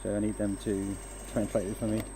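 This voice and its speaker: A man's voice: fairly low pitch, completely flat delivery, brisk, background noise. Man chatting while woodturning. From Denmark. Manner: chatty, hesitant, talks to viewers while working. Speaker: woodturning livestream host. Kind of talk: casual livestream chat while working. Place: home workshop with a lathe.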